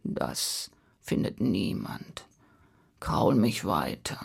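A woman voicing a heartless male giant who murmurs in a low, secretive way. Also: very animated, drawn-out words, gravelly, breathy edge, heavily acted.

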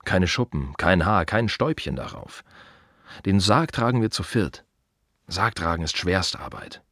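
Deep-voiced, deliberate gravedigger. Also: male voice, expressive, a bit bright, no room sound, studio quality, exaggerated voice. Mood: earnest, matter-of-fact. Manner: measured, deliberate, short clipped phrases with pauses. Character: male gravedigger and cemetery gardener, earnest, proud, reflective. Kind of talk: radio play monologue.

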